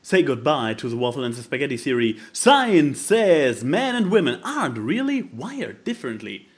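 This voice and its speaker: A man's voice: medium pitch, big pitch swings, moderate pace. A man co-hosting a podcast, reading posts aloud and commenting casually with sarcastic, mock-dramatic delivery. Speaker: podcast co-host.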